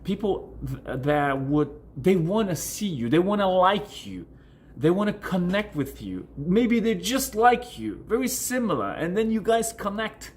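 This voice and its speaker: A man's voice: sits high, very animated, a little slow, a little mellow. A man whose native language is Portuguese, speaking as a coach and video host in an informal explanatory style, repeating and rephrasing words for emphasis. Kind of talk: informal explanatory talk to camera answering questions.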